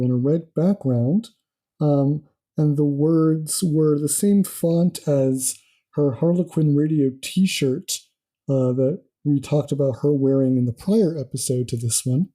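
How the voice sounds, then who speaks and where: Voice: mid-range voice, unhurried, poor audio. A podcast co-host chatting casually and eagerly, with frequent ums while recapping news. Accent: not Australian. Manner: excitable, many um fillers. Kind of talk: casual chat.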